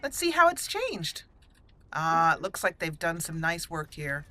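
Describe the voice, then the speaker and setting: A woman's voice: low-pitched, sing-song, a little slow, pushed and bright. A woman giving casual commentary as she plays and roleplays through a fantasy video game. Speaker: gaming channel host, player. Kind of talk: casual let's play commentary with in-character roleplay.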